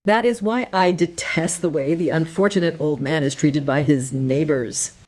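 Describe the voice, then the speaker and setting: A woman's voice: deep voice, normal speed, darkish tone. An actress playing a concerned woman, earnest and insistent as she defends someone in a crime radio play. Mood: indignant, pleading. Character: concerned, indignant woman defending an old man.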